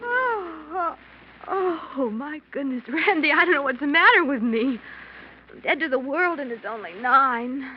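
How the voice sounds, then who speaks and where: High-voiced, lilting radio wife. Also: female voice, animated, measured pace. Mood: drowsy. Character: affectionate, gently chiding, sleepy wife. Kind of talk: old-time radio mystery acting. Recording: muffled old radio recording, faint noise.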